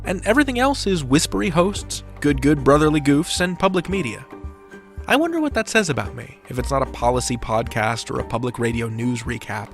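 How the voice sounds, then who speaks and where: A man's voice: medium pitch, very animated, a little fast. Wry male podcast host over music. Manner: wry, playful. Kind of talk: scripted podcast narration.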